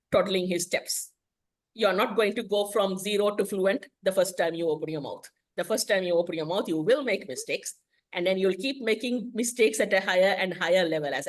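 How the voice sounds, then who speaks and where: A woman whose first language is Tamil, giving a frank, explanatory presentation on a video call as an English teacher. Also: medium pitch. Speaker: guest speaker, English teacher.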